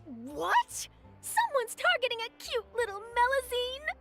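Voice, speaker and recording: A woman's voice: very high-pitched, unhurried, soft background music, exaggerated voice. A woman voicing an eager young female reporter in a video game, perky, chatty and persuasive. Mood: surprised, curious. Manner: animated, chatty, confiding. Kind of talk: animated video game voice acting.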